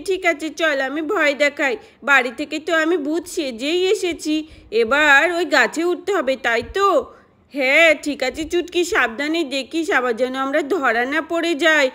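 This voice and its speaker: A woman's voice: high-pitched, bright, forceful tone. A woman voicing mischievous young village girls in a children's doll story, in an exclamatory, playfully scheming way.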